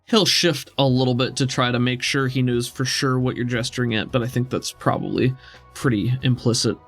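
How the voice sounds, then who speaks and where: A man's voice: medium pitch, steady tone, brisk. A man narrating a tabletop roleplaying game as game master, conversational and vividly descriptive. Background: faint music.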